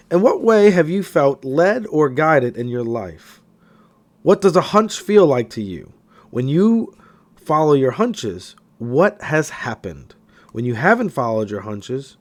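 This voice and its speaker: A man reading a self-help audiobook in an expressive, emphatic scripted narration style. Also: mid-range voice.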